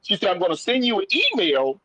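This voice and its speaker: A man telling a personal story casually as a guest, animated and folksy, repeating phrases and acting out conversations. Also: sits high, a little fast, dry recording.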